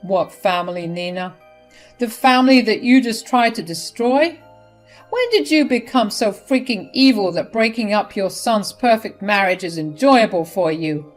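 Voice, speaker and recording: A woman's voice: medium pitch, animated, measured pace, soft background music, voice pushed up, natural voice. A woman voicing a stern, angry middle-aged husband who scolds his wife.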